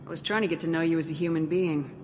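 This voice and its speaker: Woman doing a tough blunt lady commander in a game, deep voice, big reverb, wary. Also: fairly even intonation, very fast, darkish tone, natural voice. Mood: challenging. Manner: direct, challenging. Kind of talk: video game dialogue acting. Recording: reverberant, noisy game audio with rumble.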